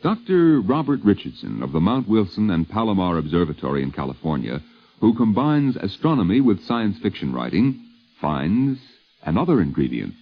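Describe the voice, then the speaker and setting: A husky American man narrates a vintage radio documentary. His pitch moves widely as he delivers formal, wry, literary copy. The recording is muffled and lo-fi, as from 1950s radio. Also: sing-song, normal speed.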